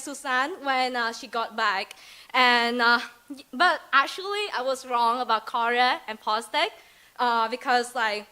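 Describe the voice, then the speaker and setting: A woman's voice: a little high, pushed and bright, clear, resonant tone. A young woman from Vietnam with a Vietnamese accent, giving a chatty, hesitant student presentation full of fillers and giggles. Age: young adult. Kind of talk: presentation speech.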